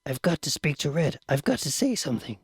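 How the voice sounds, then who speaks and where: A man's voice: on the high side, very animated, brisk, slightly rough, dry recording, clean studio sound, voice pushed up, heavily acted. A man reading aloud voices an anxious boy who urgently insists on being heard.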